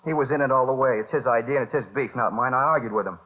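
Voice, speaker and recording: An actor playing a defensive, streetwise petty criminal with clipped, slangy American tough talk in a 1950s radio drama. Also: male voice, mid-range voice, rapid-fire, natural voice. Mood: agitated, blaming. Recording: muffled vintage radio recording.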